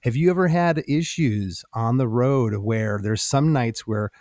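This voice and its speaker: A man's voice: mid-range voice, measured pace, darkish tone, dry recording, clean studio sound. A middle-aged man hosting a casual interview, an enthusiastic and chatty fellow singer who often repeats his words. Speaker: podcast host and fellow singer.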